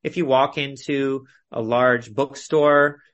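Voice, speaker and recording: A male preacher teaches in an expository sermon style. His voice sits at an average pitch for a man. He sets out his points deliberately, in short phrases with clear emphasis on key words. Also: fairly even intonation, fairly bright tone. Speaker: preacher leading a Bible study. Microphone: video call.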